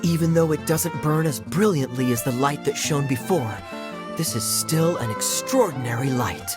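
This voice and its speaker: A woman voicing a calm, determined desert bandit in a video game fairy tale, earnest and composed. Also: low voice, normal speed, music in the background, lightly acted. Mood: resolute.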